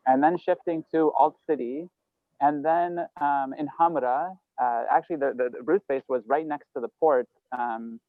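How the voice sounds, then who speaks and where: A man's voice: a little high, moderate pace, no room sound. Play a man from Lebanon introducing himself on a call, rambling and self-correcting, on dry, tinny, phone-like audio. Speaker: entrepreneurship program manager. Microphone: video call.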